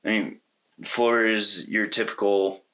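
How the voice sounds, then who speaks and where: Low voiced guy, kinda bright and punchy sound, casual podcast co-host chat, slangy. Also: fairly even intonation, unhurried, poor audio. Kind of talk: casual conversational movie discussion.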